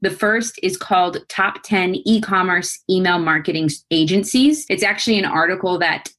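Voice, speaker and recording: A woman's voice: fairly low pitch, normal speed, a bit bright. A woman co-hosting a podcast, chatting casually and enthusiastically with a guest. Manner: enthusiastic, laughs often. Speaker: podcast co-host.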